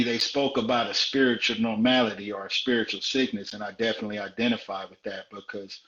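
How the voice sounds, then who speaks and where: A man's voice: fairly low pitch, fairly bright tone. A man in his forties from South Central Los Angeles tells his life story in a frank, conversational way as a guest in a live interview. He repeats phrases for emphasis. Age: 40s. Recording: dull, roomy live-stream audio.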